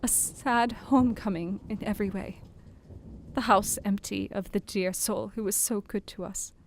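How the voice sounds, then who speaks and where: A woman's voice: medium pitch, fairly even intonation, normal speed, a little hiss or hum, lightly acted. An actress playing a sorrowful young Victorian wife, tenderly and mournfully reading her journal in an audio drama. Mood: weary, sad.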